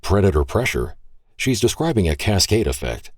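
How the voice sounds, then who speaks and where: A shy male scientist who explains things in clipped, precise technical phrases, voiced by a narrator. His voice is low, husky and dry. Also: very animated, moderate pace, no room sound. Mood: earnest.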